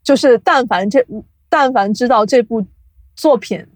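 A woman speaking casually as an interview guest, explaining things in an animated, chuckle-prone way like an industry insider. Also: on the high side, measured pace, dry recording. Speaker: guest, audio drama producer and company CEO.

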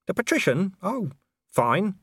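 A man's voice: high voice, big pitch swings, measured pace, fairly bright tone, husky, no echo, clean studio sound, voice pushed up, full character voice. A man voicing a blunt, sceptical male commander in an audiobook, sardonic and exasperated in manner. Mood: irritated, guarded. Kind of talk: audiobook character dialogue.

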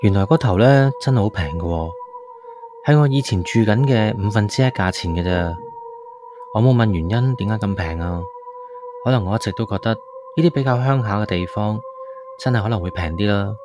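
A low male voice with a Hong Kong accent, a man acting as an uneasy city tenant in a radio ghost story. He confides in the listener in colloquial, conversational narration. Music plays clearly behind him. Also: fairly quick, natural voice. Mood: matter-of-fact. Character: uneasy male city tenant moved to an old village house. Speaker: narrator and lead character of a radio drama. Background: background music.

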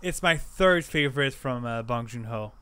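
A young man with a smooth, clean-toned voice talks about films with eager enthusiasm, swearing casually when something excites him. His pitch is mid-range for a man. He sounds like a devoted film fan co-hosting a relaxed podcast. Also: normal speed. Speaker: podcast co-host. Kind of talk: casual chat.

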